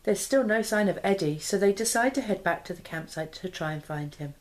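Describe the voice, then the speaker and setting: A woman's voice: medium pitch, animated, brisk, slight background noise. A woman in her thirties reading a children's storybook aloud expressively. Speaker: mother and parenting vlogger. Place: small living room, indoors.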